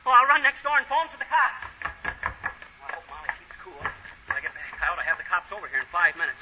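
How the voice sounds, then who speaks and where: An excitable, blustering husband in an old radio comedy. He speaks in a high, lively, folksy voice full of exasperation. The recording is muffled and noisy. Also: fairly quick. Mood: frantic, exasperated. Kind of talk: 1930s radio comedy acting.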